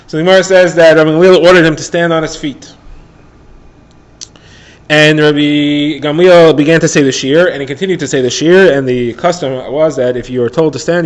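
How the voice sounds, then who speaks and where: A man with a somewhat high voice teaches the daily Talmud page. He retells the text as a plain story and mixes Hebrew and Aramaic terms into his English. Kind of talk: daily Talmud lesson for listeners.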